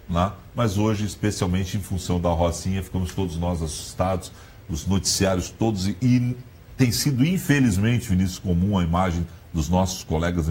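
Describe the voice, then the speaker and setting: Male TV host, low voice, chatty, faint music bed. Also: dark tone. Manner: chatty, peppers speech with "né?". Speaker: TV talk show host. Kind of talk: TV interview.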